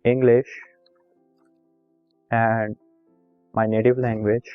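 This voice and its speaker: Flat-voiced man explaining a form. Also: medium pitch, drawn-out words, clean tone, dry recording, narrow, tinny audio, faint music behind. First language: Urdu. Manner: plain instructive, reads form fields aloud, repetitive. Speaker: video tutorial host. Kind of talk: screen-recorded step-by-step walkthrough.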